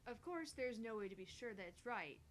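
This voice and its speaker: A woman's voice: fairly high pitch, lively intonation, fairly quick, slight background noise, close to an everyday voice. A woman voicing a skeptical, brainy young woman scientist in a visual novel, explaining matter-of-factly and dismissively. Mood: analytical. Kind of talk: visual novel dialogue read aloud.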